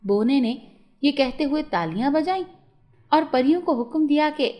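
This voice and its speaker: A woman's voice: high voice, lively intonation, moderate pace, warm tone. A woman narrating a scripted moral story for children as a storyteller.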